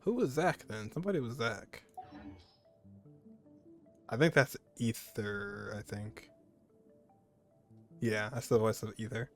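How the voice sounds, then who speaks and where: A man's voice: lowish voice, slow pace, gravelly, pauses often, soft background music. A man giving casual reaction commentary as he streams a video game. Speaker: gaming streamer.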